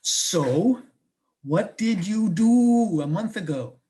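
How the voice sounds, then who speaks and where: Slow, swooping woman, teasing and incredulous in a play. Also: mid-range voice, animated, warm tone. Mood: probing. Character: prying, incredulous woman teasing her close friend. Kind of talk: two-person comic one-act play, conversational acting.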